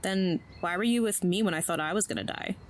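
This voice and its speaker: Woman playing a wry, low-voiced schoolgirl. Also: fairly quick, a little hiss or hum, close to an everyday voice. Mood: wry, deadpan. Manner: dry, sarcastic, casual. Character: wry, awkward schoolgirl, teenage. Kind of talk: naturalistic audio drama acting.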